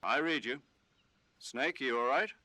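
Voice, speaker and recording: An actor playing an authoritative male military commander in a video game, giving crisp, expressive radio dialogue. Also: mid-range voice, a little slow, no echo. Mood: concerned. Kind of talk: dramatic video game voice acting.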